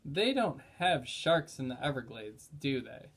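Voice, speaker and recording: A man's voice: mid-range voice, sing-song, measured pace, gravelly, fairly bright tone, husky, exaggerated voice. A man voicing a curious, eager young girl in a children's storybook. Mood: amused.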